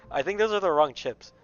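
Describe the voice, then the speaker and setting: Slow, laid-back young male streamer. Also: high voice, a bit bright, highs cut off. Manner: laid-back, halting, slangy. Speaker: game streamer. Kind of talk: casual livestream commentary. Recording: game music underneath.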